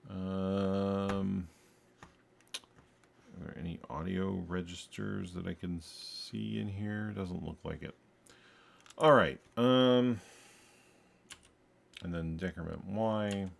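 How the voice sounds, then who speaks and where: A man programming a game, thinking aloud while he codes, muttering to himself in halting, self-correcting bits. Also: deep voice, fairly even intonation, gravelly, a little hiss or hum.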